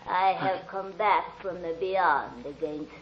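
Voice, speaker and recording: Dull, faintly noisy vintage radio recording of an old countess's ghost. She speaks slowly and imperiously, stern and solemn, in grand 1940s radio drama style. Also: on the low side. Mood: solemn, ominous. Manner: slow, solemn, imperious delivery.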